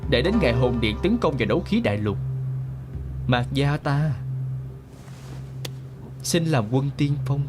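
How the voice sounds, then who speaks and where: Man acting a scheming villain clan leader, swooping pitch. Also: expressive, unhurried, pauses often, lightly acted. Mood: fawning, scheming. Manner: theatrical, menacing, sneering, gloating. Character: scheming, arrogant, greedy male clan leader in fantasy drama. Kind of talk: Vietnamese-style fantasy drama dubbing. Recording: voice over background music.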